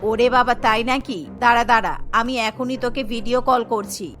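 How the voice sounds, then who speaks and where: A woman's voice: on the high side, steady tone, moderate pace, a bit bright, a little hiss or hum, voice pushed down, close to an everyday voice. A woman voicing a nosy, teasing young female friend in a cartoon, chatty and inquisitive. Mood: surprised, eager.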